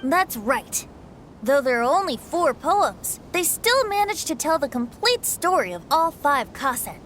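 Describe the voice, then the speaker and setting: A woman playing a clever young woman in a video game, explaining things expressively and deliberately. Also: sits high, sing-song, a bit bright, a little hiss or hum, exaggerated voice. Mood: thoughtful. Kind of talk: English video game voice acting.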